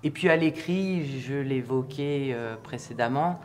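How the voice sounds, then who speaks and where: A man giving a reflective interview, speaking hesitantly and deliberately as a teacher-trainer. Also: mid-range voice, slight background noise.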